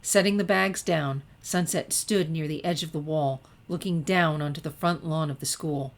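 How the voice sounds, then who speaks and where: A woman narrator with a low-pitched voice reads fiction aloud. Her delivery is scripted story narration, told in the manner of an audiobook. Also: steady tone, moderate pace. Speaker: narrator, fan fiction reader.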